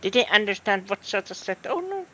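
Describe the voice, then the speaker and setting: A man's voice: sits high, big pitch swings, moderate pace, pushed and bright. A man with a Finnish accent, thinking aloud in a hesitant way as he comments on a game. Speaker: streamer. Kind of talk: casual gameplay commentary.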